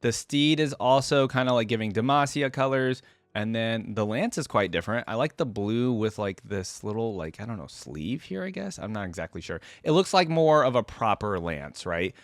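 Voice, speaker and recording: A man with a fairly low voice gives casual reaction commentary as the host of a video. He talks in a slangy way, thinking aloud as he goes, and the sound is dry with little room in it. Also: expressive, normal speed, no echo.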